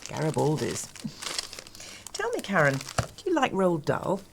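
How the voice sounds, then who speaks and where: An actress playing a condescending school headmistress who speaks in a dry, patronising, precise way. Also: female voice, low voice, wildly swooping pitch, measured pace, creaky, slight background noise, exaggerated voice. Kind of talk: sitcom acting.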